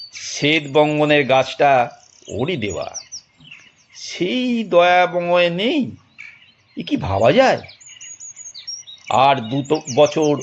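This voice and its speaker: A man narrating a detective audio drama in an expressive, dramatic storytelling manner with deliberate pauses. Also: fairly high pitch, muffled recording, slight background noise.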